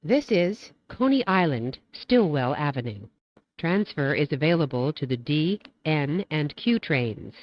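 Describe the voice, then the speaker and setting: A woman with a low-pitched voice delivers scripted train announcements. Her delivery is measured and formulaic, like a set transit script. The recording is dry and a little dull. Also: big pitch swings, no room sound.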